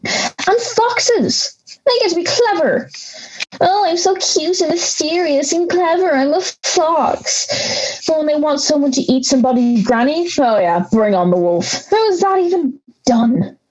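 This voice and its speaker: A woman's voice: high-pitched, animated, a little slow, a little mellow, dull audio. A woman playing an aggrieved, misunderstood big bad wolf in an audio drama, ranting with sarcastic indignation. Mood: mocking, resentful.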